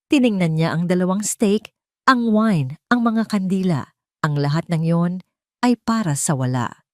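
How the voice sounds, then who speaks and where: A woman's voice: on the low side, expressive, a little slow, darkish tone, no room sound, clean studio sound. A woman with a Filipino accent narrating a scripted, dramatic audio story.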